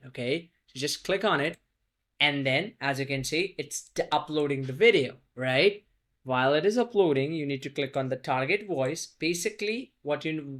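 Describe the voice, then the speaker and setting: A man talks through a software tutorial in an easygoing, unscripted way, his voice pitched slightly above the usual male range. He loops back on his phrases and keeps checking in with a quick "right?" as he clicks along, as if recording at his desk. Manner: loose, repeats "right?" often, restarts phrases. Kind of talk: casual screen-recorded tutorial.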